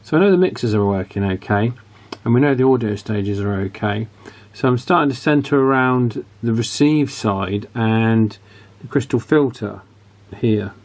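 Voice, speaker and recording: A man with a somewhat low voice talks through a repair at his workbench. He thinks aloud in an easy, casual way, breaking off and restarting his sentences. He sounds like he is speaking into a camera mic in a home workshop. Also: moderate pace, few pauses, a little mellow. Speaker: radio repair hobbyist.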